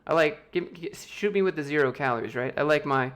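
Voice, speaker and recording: A man's voice: a little high, a little fast, fairly bright tone. American man casually answering livestream chat. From United States. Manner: loose, joking, reads chat questions aloud and answers offhand. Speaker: YouTube host answering chat.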